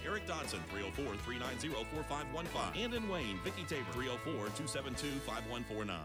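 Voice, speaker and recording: Guy reading radio commercial, normal pitch, loud music bed. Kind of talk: scripted advertising read.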